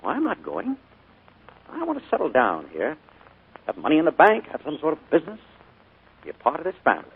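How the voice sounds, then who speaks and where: A man's voice: fairly high pitch, wildly swooping pitch, brisk, long pauses, slightly rough, exaggerated voice. A man playing a charming but sinister middle-aged uncle in a 1940s radio drama, his coaxing voice carrying a menacing undertone. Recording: narrow 1940s radio broadcast.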